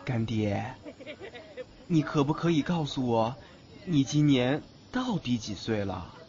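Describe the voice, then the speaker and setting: A man's voice: mid-range voice, big pitch swings, slow pace, darkish tone. A young man playing a cheeky, lovestruck college student in an audio drama, boyish and earnest. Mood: curious, cheeky. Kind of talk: Chinese audio drama acting. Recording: muffled lo-fi, faint noise.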